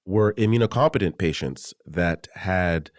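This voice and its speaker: Host, male, very low voice, measured and thoughtful questioning. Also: no room sound, studio quality. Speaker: podcast host. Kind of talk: interviewer asking questions.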